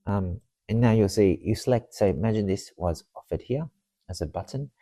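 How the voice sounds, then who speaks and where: A man with a cold-roughened voice gives a casual, unscripted software walkthrough, rambling and often restarting his sentences. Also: on the low side, animated, no room sound, clean studio sound. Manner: rambling, halting, restarts sentences and repeats words. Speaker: software demo presenter for marketers.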